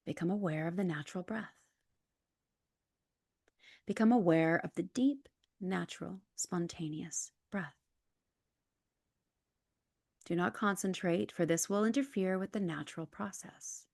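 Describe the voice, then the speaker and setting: Female yoga nidra guide, lower register, calm and soothing, scripted instructions, very dry close sound. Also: normal speed, creaky, dry recording.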